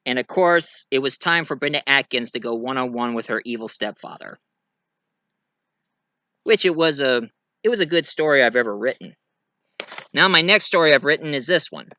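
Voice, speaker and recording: An American man giving a casual show-and-tell monologue, rambling and halting as he corrects himself along the way. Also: medium pitch, lively intonation, pushed and bright, no room sound. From United States. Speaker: amateur reviewer and story writer presenting own work.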